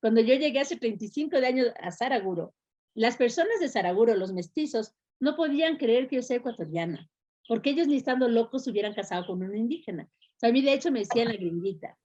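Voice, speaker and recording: Older woman, fairly high voice, steady explanatory tone. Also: fast. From Quito, Ecuador. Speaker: educator and school founder. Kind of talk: interview answers. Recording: muffled lo-fi recording.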